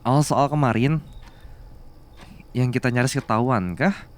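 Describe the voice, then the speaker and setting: A male voice actor plays a caring young man in an office-romance audio roleplay, sweet on his older boss. His voice sits at an average male pitch. He speaks slowly, with bashful hesitations and a playful, coaxing manner. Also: natural voice. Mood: relieved, light. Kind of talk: Indonesian ASMR romance roleplay.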